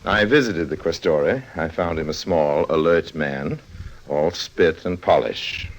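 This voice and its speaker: Deep-voiced, suave radio con artist. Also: male voice, a little slow. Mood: wry narration. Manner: wry, nonchalant. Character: suave roguish male con artist, wry and easygoing. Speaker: lead actor and narrator. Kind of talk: old-time radio drama acting and narration. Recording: dull old radio recording.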